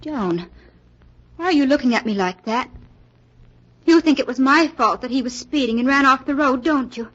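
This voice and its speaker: A proud, infatuated young woman in a 1940s radio drama. Her voice is high and her delivery dramatic and haughty. The vintage recording is muffled and lo-fi. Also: normal speed, pauses often, fairly bright tone. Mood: shaken.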